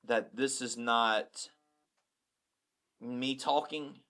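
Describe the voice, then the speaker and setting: Middle-aged man, pastor, blunt and self-deprecating, mid-pitched voice, casual chat. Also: fairly even intonation, very slow, pushed and bright. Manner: repeats words when starting a thought.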